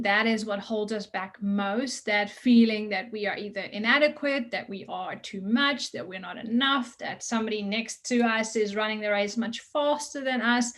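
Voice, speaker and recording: A woman with a mixed Dutch and South African accent, talking earnestly as a coach on an interview podcast, with frequent ums and restarts. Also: a little high. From Netherlands. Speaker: results coach guest.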